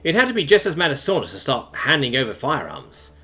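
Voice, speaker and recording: Male audiobook narrator for online sci-fi, expressive and wide-ranging in intonation, soft music underneath. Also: mid-range voice, a little fast. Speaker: narrator of online science fiction stories.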